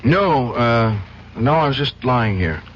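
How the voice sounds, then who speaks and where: A man playing a troubled, guilt-ridden lawyer in a radio drama, speaking in a weary, halting, brooding way. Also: medium pitch, animated, slight background noise. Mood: weary, evasive. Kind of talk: 1970s radio drama acting. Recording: dull old radio broadcast, faint music.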